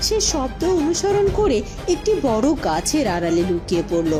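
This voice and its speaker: A woman doing expressive, dramatic storytelling and character lines for a fairy-tale cartoon. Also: a little high, moderate pace, over music.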